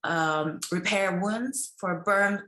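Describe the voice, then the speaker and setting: Woman from taiwan, bright voice, talks quick, lots of room echo. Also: medium pitch. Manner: quick, slightly broken phrasing. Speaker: brand founder on a panel. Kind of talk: casual panel answer. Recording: echoey room.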